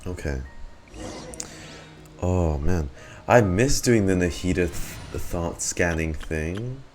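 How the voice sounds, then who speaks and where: A man's voice: low-pitched, animated, slow pace, a little mellow, soft background music. A man reacting casually to a game on stream, muttering asides with some mild swearing. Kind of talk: casual live reaction to gameplay.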